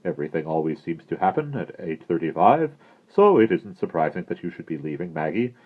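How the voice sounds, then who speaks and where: Guy reading as a stuffy precise doll gentleman, low voice, matter of fact, muffled sound. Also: big pitch swings. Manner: measured, pedantic. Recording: muffled, narrow-band lo-fi audio.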